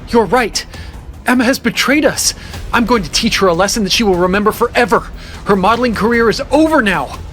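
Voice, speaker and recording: A man's voice: sits high, expressive, brisk, a bit bright, faint music behind. An actor playing a weak-willed, easily swayed young man in an audio drama. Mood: betrayed, vengeful.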